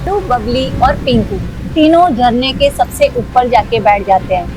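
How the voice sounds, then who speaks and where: A woman's voice: a little high, sing-song, a little slow, rough recording. Woman telling a kids story, scripted, music playing behind her. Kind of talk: scripted children's story narration.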